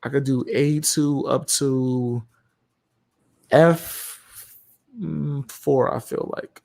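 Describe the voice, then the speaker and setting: Gravelly laid-back man chatting. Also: mid-range voice, steady tone, drawn-out words, warm tone. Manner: laid-back, swears freely, slangy. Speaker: streamer. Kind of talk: casual chat about recording.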